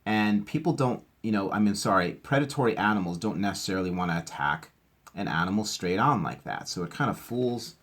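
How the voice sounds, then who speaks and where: A man's voice: lowish voice, expressive, brisk, fairly bright tone. A man reading a picture book aloud, adding casual, enthusiastic explanations and asking the listener questions. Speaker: teacher reading aloud to children.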